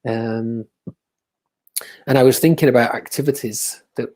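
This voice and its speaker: A man who works with children, reflecting in a soft, thoughtful way during a casual chat on a video call. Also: medium pitch, steady tone, a little slow. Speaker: educator and co-host.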